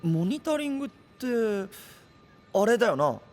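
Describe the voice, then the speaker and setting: Casting: naive young anime hero, slightly high boyish voice, blunt and sincere, light music behind. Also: male voice, sing-song, measured pace, a little mellow. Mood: naive, curious. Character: earnest, simple-minded young male hero who speaks plainly. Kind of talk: anime-style audio drama acting.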